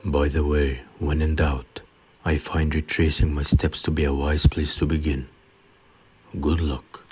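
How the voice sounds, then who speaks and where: A man playing a wise, elderly wizard headmaster with measured, cryptic phrasing in an audio play. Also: rumbling low, level tone, darkish tone, breathy edge, heavily acted. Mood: grave, cryptic. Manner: measured, deliberate, portentous phrasing. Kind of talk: dramatic audio play acting.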